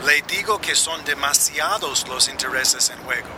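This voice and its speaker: An actor playing an insistent, calculating go-between for corrupt interests in a radio drama. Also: male voice, medium pitch, normal speed, close to an everyday voice. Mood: insistent, pressing. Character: pressuring male go-between for corrupt business interests. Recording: noisy outdoor ambience with cars.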